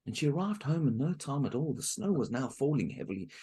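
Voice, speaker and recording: A man's voice: medium pitch, sing-song, fast, warm tone, breathy edge. A man telling a folk tale aloud to a group, with chatty asides to his listeners. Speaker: storyteller.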